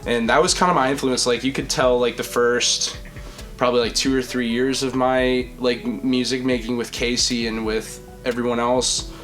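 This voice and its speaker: Young man with a deep, projecting voice. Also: steady tone, moderate pace, fairly bright tone, faint music behind. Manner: rambling, swears freely. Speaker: vocalist and podcast host. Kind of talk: casual chat.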